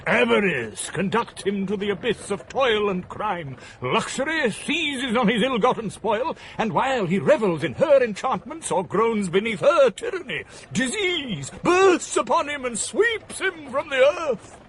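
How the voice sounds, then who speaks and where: Vehement, high-pitched British gentleman philosopher. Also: sing-song, measured pace, slight background noise, exaggerated voice, poor audio. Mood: ranting, bitter. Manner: vehement, rhetorical, grandiloquent. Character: ranting pessimistic gentleman philosopher, vehement and misanthropic. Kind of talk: period radio drama acting, declamatory oratory.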